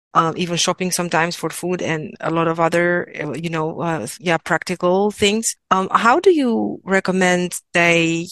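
Woman host, lowish voice, hesitant and reflective, dry close mic. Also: fairly bright tone, no echo. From Romania. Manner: thoughtful, hesitant, many fillers. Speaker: host, interviewer. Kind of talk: conversational interview.

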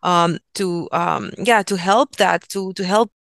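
A woman from Romania with a low, smooth voice that stays flat in pitch. She hosts an interview hesitantly, pausing often with "um". The sound is dry and close. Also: no room sound. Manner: hesitant, searching for words, many "um"s. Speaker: interview host. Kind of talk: interview conversation.

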